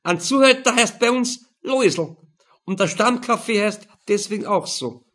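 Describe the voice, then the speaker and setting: A man's voice: high voice, expressive, moderate pace, fairly bright tone, pitched above the performer's own voice. A man voicing a brash, mocking middle-aged Viennese prostitute in an audiobook, blunt and teasing. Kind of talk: audiobook character voice.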